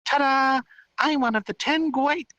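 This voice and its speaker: A man's voice: very high voice, normal speed, dry recording, pitched above the performer's own voice, full character voice, poor audio. A man voicing a tiny, childish fairy girl from a storybook, haughty, boastful and indignant. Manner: indignant, boastful, babbling excitedly. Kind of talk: hobby light-novel read-aloud character voice.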